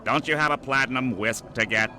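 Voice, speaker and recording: An actor playing a sour, bored, sarcastic octopus with a nasal voice and dry manner in an animated video game. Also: male voice, medium pitch, fairly quick, a little hiss or hum.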